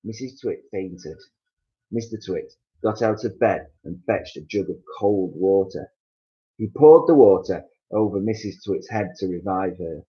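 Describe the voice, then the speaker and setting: A man reading a children's story aloud as an expressive narrator, on a slightly muffled recording. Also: sits low, a little slow.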